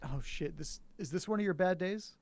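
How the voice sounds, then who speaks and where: A man's voice: high voice, moderate pace, vocal fry, darkish tone, slight background noise. A man playing a casual, blunt young man who is concerned about his dishevelled friend in an informal script reading. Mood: concerned, uneasy.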